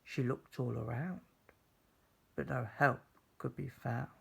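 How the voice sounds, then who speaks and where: A man reading a children's story aloud as storyteller, deliberately and with dramatic pauses. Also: mid-range voice, steady tone, vocal fry, fairly bright tone, husky.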